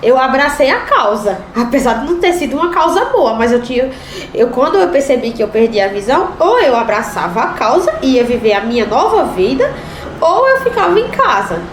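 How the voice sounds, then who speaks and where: A woman's voice: high-pitched, very animated, fairly quick, roomy sound, slight background noise. A woman speaking emphatically and resolutely in an interview. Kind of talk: interview testimony.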